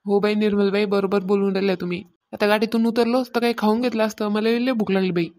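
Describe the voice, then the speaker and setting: A woman's voice: mid-range voice, steady tone, fast, a little mellow, lightly acted. A woman with a Varhadi Marathi accent voicing a nagging, exclaiming young village mother in a cartoon comedy. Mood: chatty.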